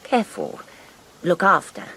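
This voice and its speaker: A woman's voice: lowish voice, wildly swooping pitch, slow pace, a bit bright, a little hiss or hum, heavily acted, poor audio. An actress playing a prim, formal spinster missionary woman in a radio drama. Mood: tender.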